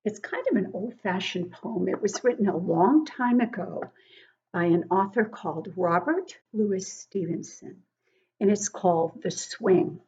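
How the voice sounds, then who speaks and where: An older woman giving an interactive read-aloud lesson for young children, encouraging and expressive, asking questions and explaining things simply. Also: mid-range voice, slightly rough, muffled recording. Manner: encouraging, asks questions and pauses to praise answers. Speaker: children's book club teacher reading poems aloud.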